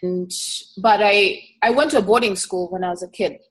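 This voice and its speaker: A middle-aged woman from East Africa, a herbalist guest speaking in a relaxed, earnest interview and pausing often to think. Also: mid-range voice, low-quality recording. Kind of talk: casual conversational interview.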